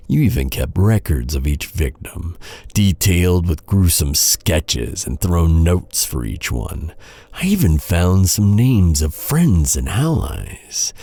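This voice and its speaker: Bitter knight, played by a man, fantasy audio drama: slow, brooding delivery with dramatic swings in pitch, gravelly, menacing. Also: a little mellow, no echo, full character voice. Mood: bitter grief. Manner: taunting, brooding, dramatic with heavy sighs. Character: bitter vengeful male knight guarding a captive royal. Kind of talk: immersive dark fantasy ASMR roleplay acting.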